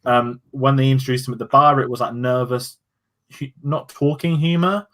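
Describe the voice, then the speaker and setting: Man, co-host, casual musing talk, medium pitch. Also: fairly even intonation, normal speed, a bit bright. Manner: loose, musing chat with restarts. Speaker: podcast co-host. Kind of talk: casual review chat.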